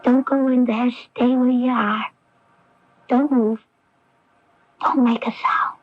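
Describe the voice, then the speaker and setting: An actress playing a troubled, frightened young woman with a childlike air, speaking haltingly in a radio drama. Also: fairly high pitch, steady tone. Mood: frightened, trance-like. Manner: halting, dreamy, hushed delivery. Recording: dull 1980s AM radio recording, music behind. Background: music.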